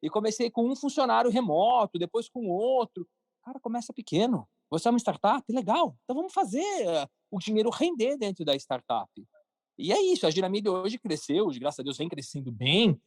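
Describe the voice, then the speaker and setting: A man's voice: high-pitched, sing-song, brisk, darkish tone, no room sound. Brazilian man, informal and emphatic, dry close sound. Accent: Brazilian Portuguese. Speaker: podcast guest, cartoon creator and entrepreneur. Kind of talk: casual interview talk.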